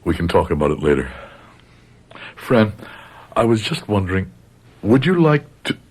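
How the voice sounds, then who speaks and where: A man's voice: lowish voice, very animated, normal speed, a little mellow. An actor playing a bitter, grieving middle-aged father with a grave, dramatic manner in a radio drama. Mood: anxious, pleading. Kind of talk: radio drama acting with first-person narration.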